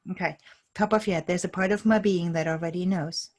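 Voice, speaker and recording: A woman's voice: on the low side, fairly even intonation, a little fast. A woman leading a tapping session, calling out tapping points and giving short phrases for someone to repeat. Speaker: EFT tapping practitioner.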